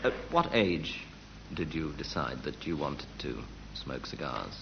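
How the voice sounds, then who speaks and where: A man's voice: extremely low pitch, very animated, a little slow, vocal fry. A man performing mock-serious, drawling wordplay as a panellist on a radio comedy show. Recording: muffled, very noisy live radio recording. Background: loud studio audience laughter.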